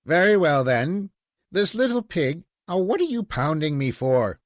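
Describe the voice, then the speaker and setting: A man's voice: a little high, very animated, a little slow, no echo, clean studio sound, voice pushed up. A man voicing a playful, mildly weary father in a storybook, wry and indulgent as he talks. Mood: teasing.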